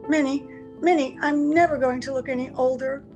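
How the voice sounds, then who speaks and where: Woman acting high-voiced anguished radio-drama wife. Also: fairly even intonation, moderate pace, darkish tone, faint music behind. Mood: urgent confiding. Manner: earnest, pleading, dramatic. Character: anguished wife and mother who can never grow older. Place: at home, recorded over a video call.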